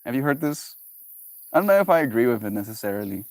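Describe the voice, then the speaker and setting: Guy livestreaming, playful and musing, rolls words around, mid pitch, dry close sound. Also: big pitch swings, fast talker, a little mellow, no echo. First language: Afrikaans. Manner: playful, musing aloud, savoring and repeating words. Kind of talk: casual solo livestream chat.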